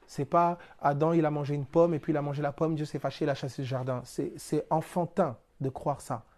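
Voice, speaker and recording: Mellow-voiced French preacher man. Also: on the high side, steady tone, a little fast. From France. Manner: explains through everyday examples and rhetorical questions; plainspoken, casual turns of phrase. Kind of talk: spiritual teaching talk.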